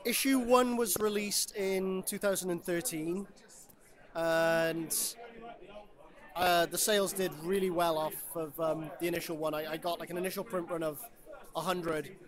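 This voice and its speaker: A man's voice: high-pitched, steady tone, a little slow. A man from Wales, a comic creator chatting casually about his book at a convention. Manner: loose, rambling, with fillers and dry jokes. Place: convention floor. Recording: busy convention crowd behind.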